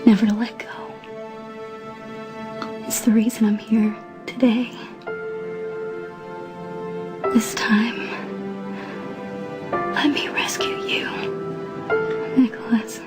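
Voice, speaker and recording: An actress playing a tender, hopeful, devoted fiancée in a romantic drama, with a breathy, intimate delivery. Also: female voice, on the high side, little pitch movement, takes it slowly, long pauses, in a whisper. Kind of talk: soap opera style romantic drama acting. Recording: loud music over the dialogue.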